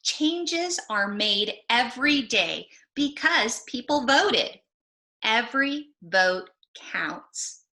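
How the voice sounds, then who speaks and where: A woman voicing an earnest, excitable schoolboy in a picture book, speaking emphatically. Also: a little high, drawn-out words, full character voice. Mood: eager, emphatic. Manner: emphatic, incredulous. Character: earnest, excitable schoolboy urging people to vote.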